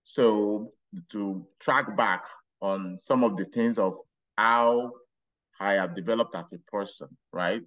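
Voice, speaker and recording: A middle-aged man from Nigeria with a Nigerian accent, telling his life story as a podcast guest in a relaxed, rambling way. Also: on the low side, muffled recording. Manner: unhurried, rambling with restarts and laughs. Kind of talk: casual interview storytelling.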